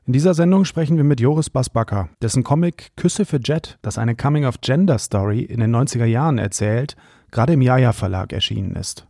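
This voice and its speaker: A man's voice: medium pitch, animated, brisk, dark tone, no room sound, clean studio sound. A man hosting a podcast, introducing and interviewing a guest in a friendly, conversational way. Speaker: podcast host.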